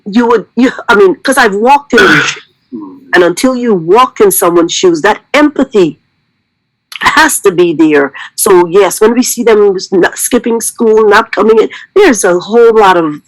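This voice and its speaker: A woman's voice: medium pitch, dry recording, low-quality recording. A middle-aged woman, a school counselor, speaking effusively and earnestly at a staff meeting. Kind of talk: heartfelt remarks at a staff meeting.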